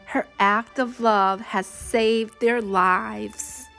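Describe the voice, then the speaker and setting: A woman reading a simple children's storybook aloud as a storyteller, in short, deliberate, expressive phrases. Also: medium pitch, gravelly, faint music behind. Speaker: children's read-along storyteller. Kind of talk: simple scripted storybook narration for young children.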